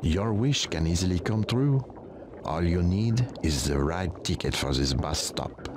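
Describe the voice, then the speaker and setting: A man voicing a solemn, wise guide in a cartoon game, formal, measured and instructive. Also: sits low, expressive, noisy background. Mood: encouraging, solemn. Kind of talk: game tutorial voice acting.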